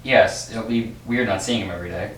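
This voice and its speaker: A man's voice: on the low side, a little fast, fairly bright tone, natural voice. A man playing a nervous, polite office employee in an amateur table read, speaking hesitantly with stammers. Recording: echoey room, distant mic, faint noise.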